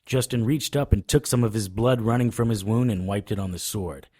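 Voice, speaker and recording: Man as a low-voiced, hard-boiled monster hunter. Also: fairly quick, mellow, lightly acted. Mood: grim, intent. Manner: terse, hard-boiled, defiant. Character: tough, defiant male monster hunter, narrating his own tale. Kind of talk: scripted first-person audio drama narration and acting.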